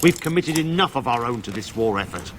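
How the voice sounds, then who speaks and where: An actor playing a stern, easily offended templar commander in a video game, with stiff, formal speech. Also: male voice, mid-range voice, expressive, brisk, fairly bright tone, slight background noise, close to an everyday voice.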